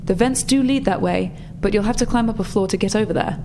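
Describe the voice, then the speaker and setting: A woman playing a brisk, practical rebel contact in a tabletop roleplay, explaining things matter-of-factly. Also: mid-range voice, background noise, close to an everyday voice. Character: female rebel contact, brisk, practical, slightly flustered insider technician.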